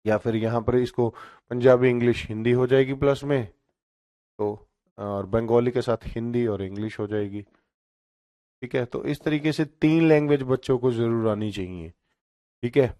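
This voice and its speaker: A man's voice: medium pitch, normal speed, warm tone, no room sound. Guy teaching exam prep, mid voice, dry close sound, keeps checking in with his students. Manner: explanatory, frequent "theek hai" checks.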